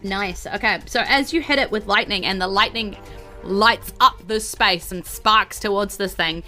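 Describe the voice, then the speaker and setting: A woman's voice: medium pitch, normal speed, pushed and bright. A woman narrating a tabletop role-playing game as game master in a casual, chatty style. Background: faint background music.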